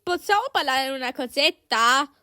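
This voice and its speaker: A woman voicing excitable, friendly little cartoon animals in an amateur dub, with a childlike, halting, exclamatory delivery. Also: high-pitched, dry recording, clean studio sound. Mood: worried. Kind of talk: amateur cartoon dub, playing every character.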